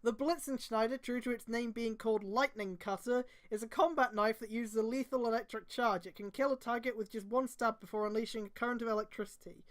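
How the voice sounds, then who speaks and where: A woman's voice: a little high, steady tone, brisk, few pauses. A woman streamer reading fan fiction aloud, with sweary, exasperated commentary and emphatic delivery. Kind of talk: mocking read-aloud with casual commentary.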